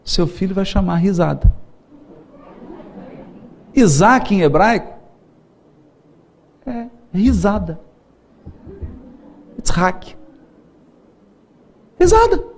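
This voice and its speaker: Animated, playful Brazilian lecturer, high voice. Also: male voice, extremely slow, pauses often, a little hiss or hum. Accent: Brazilian Portuguese. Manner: playful, laughs often, acts out quoted voices. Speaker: spiritist lecturer. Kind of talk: seminar lecture with storytelling.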